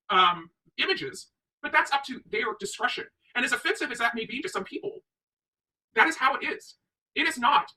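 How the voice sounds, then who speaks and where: A woman's voice: fairly high pitch, pushed and bright, far from the mic, poor audio. A woman from the United States hosting opinionated livestream commentary, speaking emphatically and repeating her points for stress. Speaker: online commentary show host.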